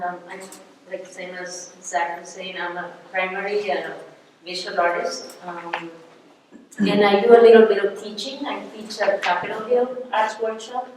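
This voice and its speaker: A woman with a Colombian Spanish accent, native to Spanish, speaks as a panelist in a relaxed, halting way. She is heard from a distance in a large hall with long reverb and faint noise. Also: mid-range voice. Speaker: visual artist and art teacher, panelist. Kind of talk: casual panel talk. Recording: echoey hall from far off.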